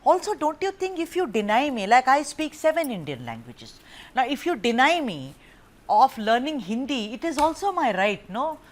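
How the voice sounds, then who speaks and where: A woman's voice: high-pitched, sing-song, moderate pace. A woman news anchor moderating a TV debate, pressing her guests and talking over them.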